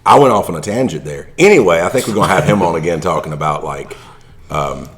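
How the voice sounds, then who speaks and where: Male podcast host, very low voice, rambling casual storyteller. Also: lively intonation, quick pace. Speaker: martial arts podcast host.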